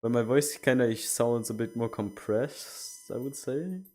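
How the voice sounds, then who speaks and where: A man's voice: mid-range voice, measured pace, mellow. A young man streaming a game, chatting casually and rambling with many restarts. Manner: rambling, self-correcting. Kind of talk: casual chat while gaming.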